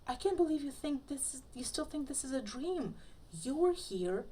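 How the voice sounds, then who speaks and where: A woman's voice: high voice, very animated, normal speed, mellow, pitched above the performer's own voice. A woman voicing a bewildered, insistent male FBI agent, incredulous and earnest, in a story read aloud.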